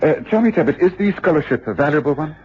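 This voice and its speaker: An actor, a man, playing a brisk, aloof, analytical detective with a British accent in a mid-century radio drama. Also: on the high side, expressive, breathy edge. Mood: probing. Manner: brisk questioning, thoughtful hums. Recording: muffled old radio recording.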